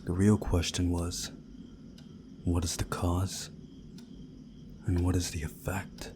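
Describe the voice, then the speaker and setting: A man reading a visual novel aloud as a playthrough host, in a measured, deliberate way with pauses. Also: low-pitched, completely flat delivery, noisy background. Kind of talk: scripted narration of a visual novel with commentary.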